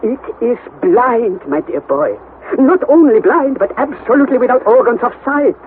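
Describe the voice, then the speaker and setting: An actor playing an elderly, pedantic professor uncle in a vintage radio drama, excitable and impatient, exclaiming and repeating words for emphasis. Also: male voice, very high-pitched, moderate pace. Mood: excited wonder. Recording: tinny, band-limited vintage radio, faint noise.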